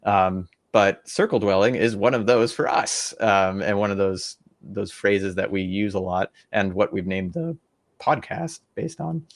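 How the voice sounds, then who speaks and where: A man's voice: lowish voice, measured pace. A young man co-hosting a podcast, explaining an idea in a thoughtful, rambling way with many fillers and restarts. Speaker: podcast co-host. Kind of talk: casual podcast discussion.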